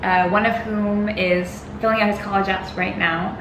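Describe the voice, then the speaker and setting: A woman's voice: medium pitch, fairly even intonation, normal speed. A young woman, a guest chatting casually in an interview, laughing often and filling her talk with "like" and "um". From United States. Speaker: guest, designer. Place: restaurant or eatery. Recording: roomy, noisy background.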